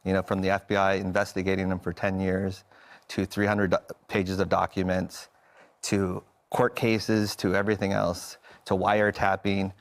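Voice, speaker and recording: An American man in his forties speaking as a television interview guest, earnest and somewhat halting as he explains himself. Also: low-pitched, little pitch movement. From United States.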